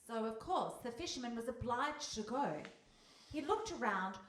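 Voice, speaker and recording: A woman storyteller with a fairly high voice narrates a live radio play. She is recorded at a distance in an echoey hall, with faint noise behind her. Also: lively intonation, normal speed, fairly bright tone. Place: hall, live stage performance.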